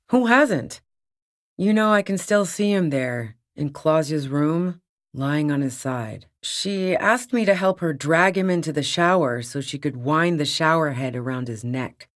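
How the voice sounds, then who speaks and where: A tough, sardonic fugitive woman. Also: deep voice. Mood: matter-of-fact, confiding. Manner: blunt, sardonic, foul-mouthed. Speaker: video game voice actor. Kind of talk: video game character dialogue.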